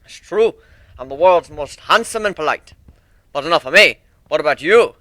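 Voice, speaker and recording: A man's voice: fairly high pitch, expressive, normal speed, sharp tone, no echo. A man voicing a cheery, boastful Scottish minotaur with boisterous, courtly manners for a story reading.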